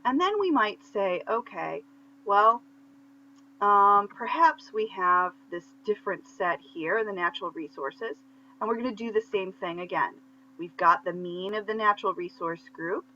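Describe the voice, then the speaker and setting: A woman's voice: lowish voice, sharp tone. A woman lecturer explaining material step by step in a conversational teaching style, checking in with short questions as she goes. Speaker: statistics lecturer. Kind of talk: conversational instructional explanation of slides. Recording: muffled lo-fi audio with faint hum.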